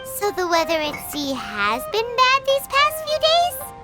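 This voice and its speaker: A woman voicing a small, childlike, cheerful and nosy fairy companion in a video game, with a squeaky voice. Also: wildly swooping pitch, a little slow, over loud music, heavily acted. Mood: chirpy, curious. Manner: refers to self in third person.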